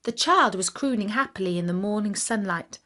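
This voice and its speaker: A woman narrating a scripted fairy tale for children in an expressive, deliberate storytelling manner. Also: medium pitch.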